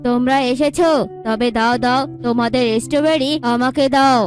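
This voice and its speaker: A woman voicing a calm, authoritative male bird king in a children's cartoon, speaking in a measured way. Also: high-pitched, soft background music, voice pushed down, natural voice. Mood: calm, commanding.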